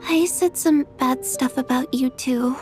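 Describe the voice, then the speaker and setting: A woman's voice: sits high, fairly even intonation, a little slow, a little mellow, soft background music. An actress playing a kind, frank young girl who is sickly but brave, in a video game. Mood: weak, reassuring.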